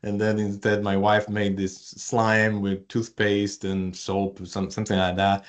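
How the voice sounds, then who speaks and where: A man with an Italian accent leads a live online workshop over a video call. His voice sits a little low, and he talks in a loose, chatty way full of fillers and restarts, joking with his audience as he goes. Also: completely flat delivery, a little slow. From Italy. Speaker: research communication presenter.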